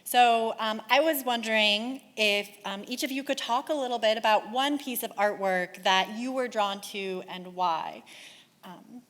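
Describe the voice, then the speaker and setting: A woman moderating a panel, gracious and soft-spoken as she guides the speakers. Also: fairly high pitch, unhurried, long reverb tail.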